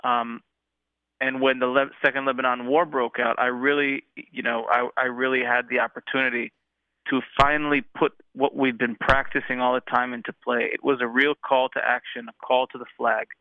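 A man's voice: mid-range voice, little pitch movement, moderate pace, no room sound. A man in his thirties with an American accent, a guest telling his story in an earnest, reflective way, with many fillers and restarts. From Israel, raised in the United States. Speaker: interview guest, musician. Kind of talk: casual interview storytelling. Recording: tinny phone-line sound.

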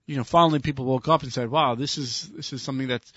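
An easygoing man keeps nodding along in words and rambles through his points on a talk show. His voice is set at a middle pitch, dry and close, with the dull sound of studio radio. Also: fairly even intonation, fast, a bit bright, no echo. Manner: agreeable, affirms often, rambling sentences. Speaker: radio co-host. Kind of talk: casual radio chat.

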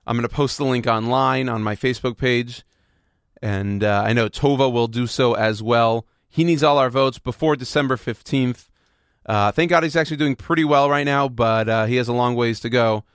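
A man's voice: medium pitch, normal speed, clear, resonant tone, dry recording, studio quality. A man hosting a radio show, talking informally to his listeners. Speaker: show host.

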